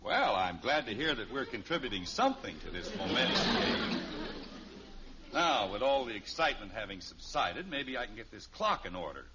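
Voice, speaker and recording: A man's voice: mid-range voice, sing-song, moderate pace, close to an everyday voice. An actor playing a wry, exasperated middle-aged father in a 1950s radio sitcom, dry and wisecracking. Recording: muffled, noisy old radio recording.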